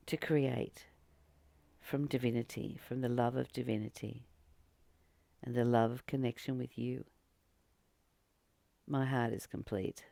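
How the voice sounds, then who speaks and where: A woman giving a spiritual teaching, reading and commenting informally in an earnest, deliberate way, with frequent pauses and emphatic repetition. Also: very deep voice, gravelly, mellow, no echo. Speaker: spiritual teacher and channel host.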